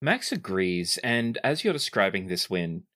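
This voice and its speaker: A man's voice: sits low, sing-song, measured pace, sharp tone. Man narrating as game master.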